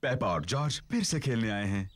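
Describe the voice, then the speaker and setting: A man giving scripted narration for a children's cartoon, in a clear storytelling manner. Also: on the low side, very animated, normal speed, very noisy.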